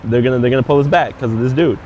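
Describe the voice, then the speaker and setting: A man's voice: mid-range voice, rapid-fire, darkish tone, slight background noise, poor audio. A male comedian hosting a casual street chat, trading crude, slang-heavy jokes. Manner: crude joking banter with slang. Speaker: comedian host. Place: outdoors on a city street.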